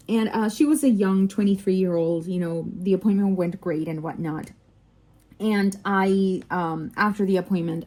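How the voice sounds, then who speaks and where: The speaker is a woman from Mexico with a Mexican accent and Spanish as her native language. Her voice is mellow and sits at an average pitch. She tells stories casually, with animated emphasis, and often breaks off to correct or interrupt herself. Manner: animated, digressive, self-interrupting. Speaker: vlogger and crafter, telling work anecdotes. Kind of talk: casual chatty storytelling.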